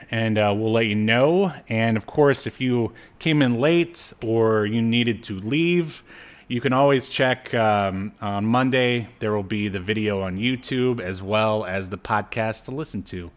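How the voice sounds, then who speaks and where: A man's voice: medium pitch, unhurried. A man co-hosting a podcast in a casual, joking livestream chat, poking fun at himself. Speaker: podcast co-host.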